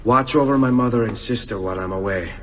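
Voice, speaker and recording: A man's voice: medium pitch, fast, big-room sound, a little hiss or hum, lightly acted. An actor playing an earnest young nobleman turned avenger, with an Italian accent, in a dramatic video game style. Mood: determined.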